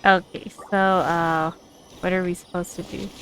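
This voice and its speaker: A woman's voice: lowish voice, little pitch movement, a little slow, vocal fry, over music, low-quality recording. A woman giving casual, offhand commentary while playing a game, hesitant in manner. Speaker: gamer commenting on playthrough. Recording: faint noise with game ambience.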